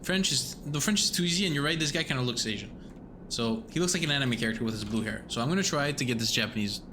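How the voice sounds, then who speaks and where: A man streaming a game, reading text aloud and rambling in a self-mocking way, swearing now and then. His voice sits in an ordinary middle range. A clear layer of noise hangs under the home recording. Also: mid-range voice, expressive, fast talker.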